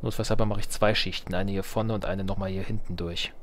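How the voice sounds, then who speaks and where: A man's voice: low-pitched, steady tone, a little fast, a little hiss or hum. A man giving casual gameplay commentary, muttering to himself as he thinks aloud. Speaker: Let's Play gamer.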